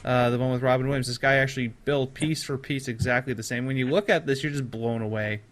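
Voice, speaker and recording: Male podcast host, medium pitch, effusive and informal, conversational delivery. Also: steady tone, fast. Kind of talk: casual podcast chat about films.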